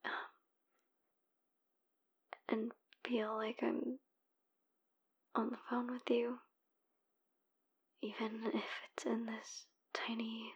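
A woman playing a heartbroken young woman who leaves a hushed, pleading voicemail for her ex, swearing in frustration. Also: fairly high pitch, creaky, husky, heavily acted. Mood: yearning. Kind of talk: whispered audio roleplay voicemail.

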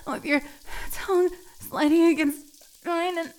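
A woman playing a submissive, needy cat girl in an intimate adult audio roleplay, halting and breathy as she pleads. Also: extremely high pitch, fairly even intonation, darkish tone. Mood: needy, breathless.

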